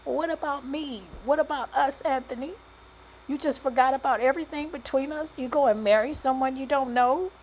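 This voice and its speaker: A woman's voice: sits low, moderate pace, slight background noise, close to an everyday voice, rough recording. A woman voicing a heartbroken, stubborn young woman, emotional and slangy, in audiobook dialogue. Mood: hurt, upset.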